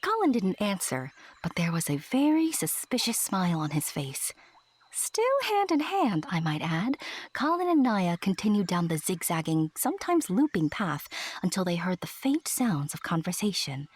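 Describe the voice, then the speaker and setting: A woman narrating a scripted fairy tale as a storyteller, gently, with playful asides. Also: fairly low pitch, big pitch swings, normal speed, husky.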